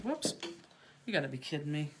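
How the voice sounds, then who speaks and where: A woman's voice: deep voice, very animated, a little fast, noisy background. A woman from Cleveland with a Cleveland accent, hosting a live craft class and chatting as she answers viewers' comments. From Cleveland, Ohio. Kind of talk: live online craft demonstration. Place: craft studio, indoors.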